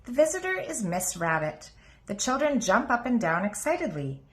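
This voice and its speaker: A woman's voice: a little high, very animated, normal speed, a bit bright. A woman reading a picture book aloud to young children as a storybook narrator.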